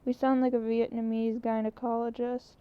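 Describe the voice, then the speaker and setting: A woman's voice: fairly high pitch, level tone, moderate pace, smooth, close to an everyday voice. A woman voicing a dry, teasing adult man in an audiobook. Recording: muffled lo-fi recording.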